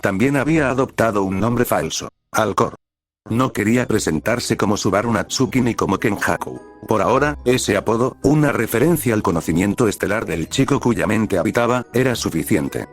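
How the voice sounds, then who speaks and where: A young man reading fanfiction aloud in a steady, even narrating style. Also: fairly low pitch, normal speed, faint music behind. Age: young adult. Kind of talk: audiobook-style story narration.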